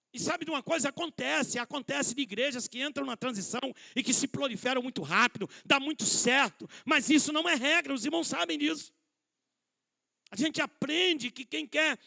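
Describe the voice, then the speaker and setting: High, gravelly, bright-voiced man preaching. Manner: addresses listeners as brothers, rhetorical questions, self-deprecating humor. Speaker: pastor. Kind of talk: conversational sermon at a church conference. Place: church hall.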